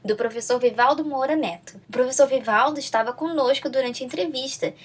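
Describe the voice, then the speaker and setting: A woman's voice: sits high, a little fast, slightly rough. A Brazilian woman with a Brazilian Portuguese accent hosting a podcast, friendly and peppering her speech with tag questions. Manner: friendly, lots of "né" tags. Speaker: podcast host. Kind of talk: podcast intro and interview.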